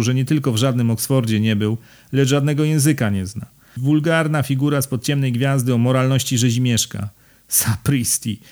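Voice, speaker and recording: A man's voice: mid-range voice, a little mellow, voice pushed up, lightly acted. A man voicing a frail, furious aristocrat with a monocle in an audiobook, ranting scornfully with emphatic insults.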